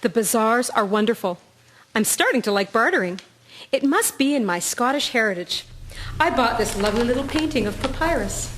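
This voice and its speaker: A stoical ww1 canadian nurse, kinda high voice, composed, radio play. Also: female voice, lively intonation, moderate pace, fairly bright tone, a little hiss or hum, poor audio. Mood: curious, pleased. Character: composed, stoical Canadian nursing sister in wartime. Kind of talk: radio drama acting.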